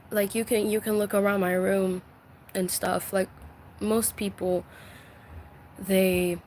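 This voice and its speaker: A woman who voice acts, talking from her bedroom in an emotional live-stream vent, halting and full of fillers, soft and confiding as she holds back tears. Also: mid-range voice, a little hiss or hum. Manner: slow, halting, many fillers and restarts.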